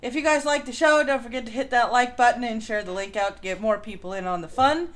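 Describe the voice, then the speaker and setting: A woman's voice: fairly high pitch, fast talker. A woman hosting a show, chatting casually and reading out background notes. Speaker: host of a riffing show.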